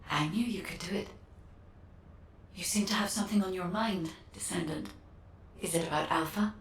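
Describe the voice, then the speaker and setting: A serene female AI guardian for a video game, played by a woman. Her voice sits a little low and sounds distant and echoey. She speaks with measured, courteous care. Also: slight background noise, natural voice. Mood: calm, earnest. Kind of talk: scripted game dialogue.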